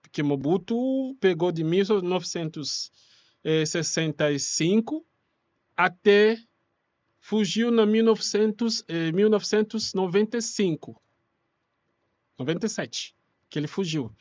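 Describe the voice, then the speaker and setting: Congolese guy w accent, pretty high voice, not a native speaker, explaining stuff in an interview, dry sound. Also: expressive, measured pace, pauses often, a little mellow, no room sound, dull audio. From Kinshasa, Democratic Republic of the Congo. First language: Congolese languages such as Lingala. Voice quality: non-native Portuguese with irregular grammar. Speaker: interview guest, refugee rights activist.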